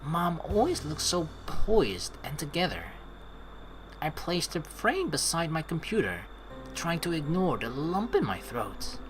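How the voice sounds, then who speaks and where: A woman doing casual let's play commentary and reading game dialogue aloud in an expressive, playful, sweary way. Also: sits low, measured pace, over music, rough recording.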